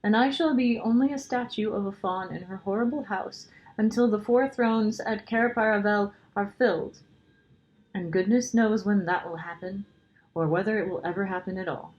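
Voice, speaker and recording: A woman voicing a gentle, guilt-ridden male faun in a children's storybook, anguished and pleading in a dramatic way. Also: medium pitch, normal speed, muffled recording, voice pushed up, natural voice. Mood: tearful, frightened. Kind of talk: children's storybook character reading.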